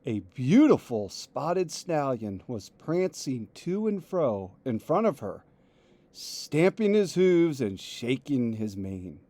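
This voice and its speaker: A man on a school staff reading a picture book aloud with expressive delivery. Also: mid-range voice, no room sound. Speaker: staff reader.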